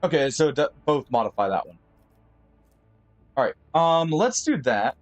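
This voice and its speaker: Higher-voiced man streaming a game. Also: big pitch swings. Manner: thinks aloud with pauses and fillers; friendly sign-off to viewers. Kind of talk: live gameplay commentary.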